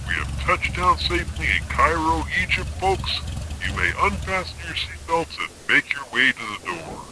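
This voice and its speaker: A man's voice: a little high, very animated, moderate pace, background noise. A man playing an airplane pilot addressing passengers in 1940s-style radio comedy. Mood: announcing.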